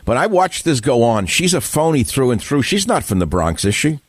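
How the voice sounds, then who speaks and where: A man's voice: medium pitch, sing-song, a little fast, no room sound. An older man with a New York accent hosting a radio call-in interview, asking combative, blunt questions with rising emphasis. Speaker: radio talk show host.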